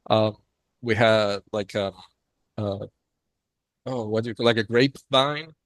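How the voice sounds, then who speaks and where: Man from Chile, podcast guest, bright mid voice, chatty with filler words, dry close mic. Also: on the low side, steady tone, measured pace, no echo. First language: Spanish. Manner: friendly, frequent ums and uhs. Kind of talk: casual interview storytelling.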